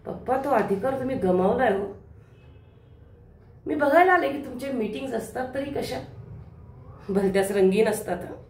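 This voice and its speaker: A woman's voice: fairly high pitch, animated, normal speed, pauses often, darkish tone. A woman acting on stage as a young daughter who is coy, sarcastic and reproachful. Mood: bitter, confronting. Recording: echoey hall, distant microphone.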